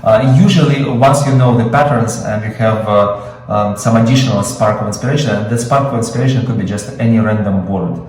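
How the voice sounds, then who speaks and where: A man's voice: on the low side, fairly quick, a little mellow, heavy reverb, recorded from a distance. A man with a Russian accent, Russian his first language, teaching screenwriting in an improvised webinar, explaining with many fillers and restarts. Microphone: video call.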